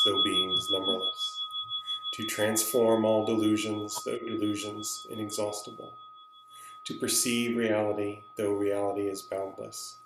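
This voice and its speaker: A man leading a slow, measured recitation of Buddhist vows as chant leader. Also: on the low side. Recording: echoey room with clear hum.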